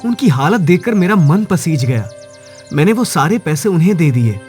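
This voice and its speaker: A man's voice: on the high side, sing-song, normal speed, darkish tone, faint music behind. A man voicing a kind, selfless village husband in a cartoon moral story, earnest and emphatic. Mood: pleading.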